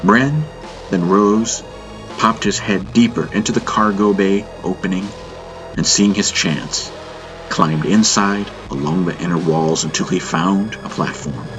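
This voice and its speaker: Deep-voiced man narrating sci-fi over music. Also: a little slow, low-quality recording. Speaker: audiobook narrator. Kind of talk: dramatic scripted sci-fi audiobook narration.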